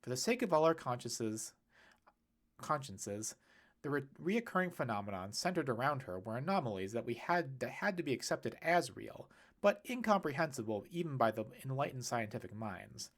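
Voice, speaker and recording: A pedantic man of science, acted out by a male narrator in a storybook reading. He talks in long formal phrases, precise and a little huffy, at a middling pitch. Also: big pitch swings, normal speed.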